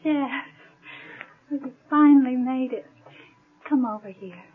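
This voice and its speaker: An actress playing a young woman lieutenant and new mother on a space station in a 1950s radio drama, sharp and loving. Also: high voice, slow pace, breathy edge, full character voice. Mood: happy, teasing. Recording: 1950s radio, narrow tinny band.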